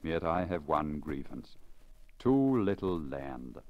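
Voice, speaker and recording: A man's voice: extremely low pitch, very animated, slow pace, dull audio, exaggerated voice. A man reading the part of a boastful, discontented peasant husband in an emphatic storybook manner, on a crackly old disc. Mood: boastful, aggrieved.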